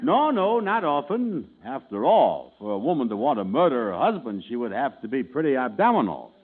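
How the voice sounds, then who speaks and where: A man's voice: mid-range voice, very animated, moderate pace, breathy edge. An actor playing a pompous, fussy insurance salesman in a 1940s radio comedy. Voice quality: pompous, fussy, word-mangling. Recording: tinny old radio broadcast recording.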